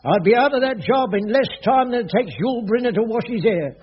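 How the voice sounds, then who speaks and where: A dull-sounding old radio comedy recording of a wily London caretaker. His voice sits high for a man, and he grumbles and rambles in colloquial working-class speech like a born jobsworth. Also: lively intonation, brisk, heavy background noise. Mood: grumbling. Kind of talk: radio sitcom acting.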